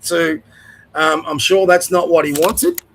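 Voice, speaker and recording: A man's voice: on the high side, unhurried, fairly bright tone, slight background noise, low-quality recording. An older man with an Australian accent, hosting a blunt, rambling opinion rant to camera, nasal and sniffly from a cold, swearing and restarting as he goes. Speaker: host.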